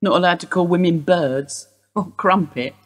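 An actress playing an older woman being questioned by police, guarded and wry in a husky voice. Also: on the low side, normal speed, lightly acted. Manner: guarded, dry, wistful. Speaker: police interview suspect. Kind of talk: radio play acting.

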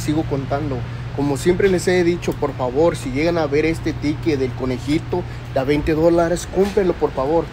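A man with a Mexican accent chatting casually in slang to his followers, selfie-vlog style. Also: a little high. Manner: slangy, with catchphrases and repeated appeals to followers. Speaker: social media lottery scratcher promoter. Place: small liquor store, indoors. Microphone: phone at arm's length. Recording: noisy store, phone recording.

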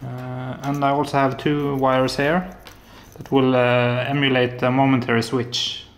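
Slow, halting Norwegian-accented man. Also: medium pitch, flat delivery, slight background noise. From Norway. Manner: hesitant, many filler sounds, soft-spoken. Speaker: hobbyist maker. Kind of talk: casual tech demo. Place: apartment living room and kitchen, indoors. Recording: echoey room.

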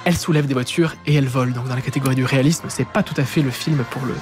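A young man presenting a lively web-news column in a radio studio, close to a studio mic. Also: medium pitch, very fast, over music. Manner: lively, talks with his hands. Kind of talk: radio chronicle, casual banter. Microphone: studio mic with headphones.

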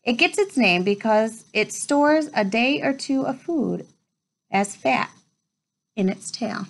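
A woman in her thirties reading a children's nature book aloud at home, with measured storytime phrasing. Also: fairly high pitch, expressive, gravelly. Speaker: read-aloud presenter. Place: small furnished room at home, indoors.

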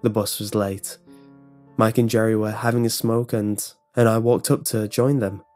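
A man's voice: lowish voice, steady tone, moderate pace, darkish tone, soft background music. A man reading a scripted first-person horror story as its narrator.

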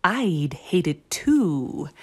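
A woman's voice: on the low side, big pitch swings, very slow, a little mellow, slightly rough, exaggerated voice. A woman voicing a sly, coaxing male fox in a children's storybook reading. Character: sly smiling male fox luring chicks.

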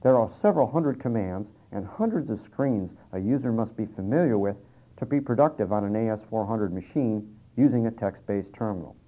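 A man presenting scripted instructional material on an old cassette tape. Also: lowish voice. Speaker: presenter and interviewer. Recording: dull early-1990s cassette tape transfer.

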